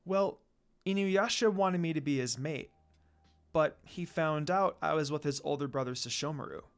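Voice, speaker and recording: A man's voice: a little high, moderate pace, clean tone, natural voice. A man voicing a candid, friendly young heroine of a fantasy romance, frank and reassuring. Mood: resigned.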